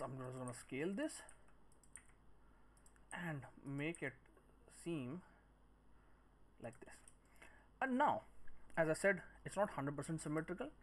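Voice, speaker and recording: A man giving a step-by-step software tutorial, thinking aloud in short phrases as he works. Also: mid-range voice, slight background noise. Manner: speaks in short phrases while working. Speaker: 3D modelling tutor.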